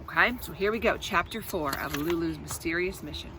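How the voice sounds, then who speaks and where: A woman's voice: fairly low pitch, a little fast, noisy background. A woman reading a children's storybook aloud as host, expressively, with explanatory asides to her young listeners.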